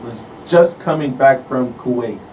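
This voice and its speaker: A man's voice: medium pitch, unhurried, darkish tone, far from the mic. Casual man in a roomy space. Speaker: musician guest. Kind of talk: casual interview chat. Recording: roomy, off-mic, some background noise.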